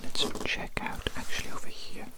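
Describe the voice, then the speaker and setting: A woman whispering breathily and soothingly close to the microphone in an ASMR doctor roleplay, often softly repeating her phrases. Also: normal speed.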